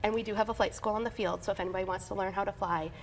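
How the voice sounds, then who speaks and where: A woman's voice: medium pitch, steady tone, very fast, slight background noise. A woman in her thirties, an airport manager, talking eagerly in a TV studio interview with plenty of fillers and restarts. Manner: enthusiastic, chatty, frequent fillers and restarts. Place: TV news studio. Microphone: lapel.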